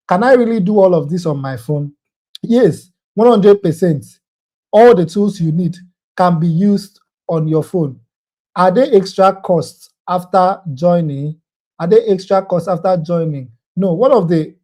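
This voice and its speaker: Course instructor, male, high-pitched but mellow, persuasive pitch that often repeats itself and checks in with "okay?". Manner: earnest, persuasive, repeats phrases, ends points with "okay?". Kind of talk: sales pitch answering common questions.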